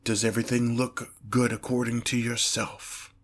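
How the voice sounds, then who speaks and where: A man's voice: medium pitch, flat delivery, natural voice. A man voicing a haughty, exasperated demon lord in a fantasy audio roleplay, speaking formally and pompously. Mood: reluctant, irritated. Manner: pompous, formal, slow deliberate phrasing with irritated sighs. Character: haughty, exasperated male demon lord, grandiose but petty. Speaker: character performer in roleplay audio. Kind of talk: scripted ASMR fantasy roleplay, one-sided dialogue.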